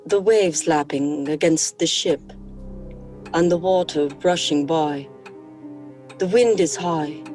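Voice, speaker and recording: An actress playing an entranced young woman under a vampire's spell in a radio horror drama, speaking in a slow, dreamy trance. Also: on the low side. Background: soft music.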